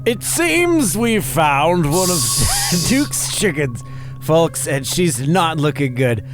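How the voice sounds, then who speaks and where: A man's voice: a little high, big pitch swings, slow, over music. A man voicing an earnest young mountain woman who makes monster-hunting videos, in a visual novel game read-aloud. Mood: hesitant presenting.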